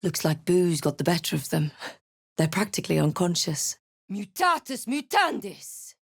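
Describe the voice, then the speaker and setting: A woman's voice: lowish voice, very animated, moderate pace, vocal fry, husky, no room sound, exaggerated voice. Casting: snarky young female adventurer for a fantasy game, scripted delivery, close dry studio sound. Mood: wry, musing, then commanding, incanting. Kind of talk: scripted video game voice lines, narration and spell incantations.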